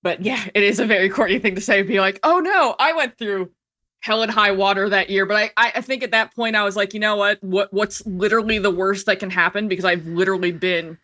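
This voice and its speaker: A woman in her thirties with an American accent and a bright, forward voice. She talks casually and candidly as an interview guest, chatty and emphatic, slipping quoted remarks into her stories. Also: medium pitch, fairly quick. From United States. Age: 30s. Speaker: podcast guest, filmmaker. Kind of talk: casual personal storytelling in conversation.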